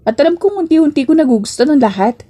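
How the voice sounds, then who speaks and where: Coy, teasing young working woman for audio romance, read by a female narrator; slightly high, mellow voice. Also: expressive, fast, highs cut off. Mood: wistful, confused. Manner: teasing, coy, mock-annoyed.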